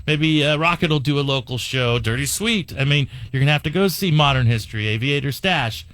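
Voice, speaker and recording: A man's voice: a little high, brisk, fairly bright tone, dry recording. Male radio host, dry close sound. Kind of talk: casual radio chat.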